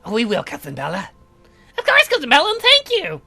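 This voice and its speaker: A ghoulish, man-eating young lady cousin acted out by a man from a horror game. The voice is extremely high and bright, rattling along quickly with gleeful, theatrical eagerness. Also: huge pitch swings, creaky, soft background music, exaggerated voice. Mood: ghoulish delight.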